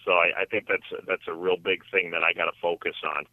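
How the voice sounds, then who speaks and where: A man explaining his work as an interview guest in a casual, halting way, restarting his phrases again and again. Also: low voice, flat delivery, no echo. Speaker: podcast guest, financial advisor. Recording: thin phone-like line, dry.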